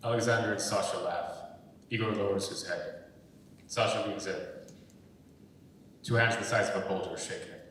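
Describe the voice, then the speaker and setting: A man's voice: fairly even intonation, normal speed, long pauses, fairly bright tone. A man reading screenplay stage directions aloud as scripted narration, recorded from far off in an echoing hall. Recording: echoey hall, far from mic, rumbly noise.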